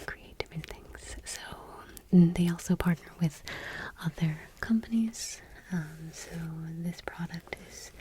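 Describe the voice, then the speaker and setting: A woman whispering gently and unhurriedly in a soft-spoken ASMR style, close to the microphone. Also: on the low side, a little hiss or hum, low-quality recording. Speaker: ASMR creator and host.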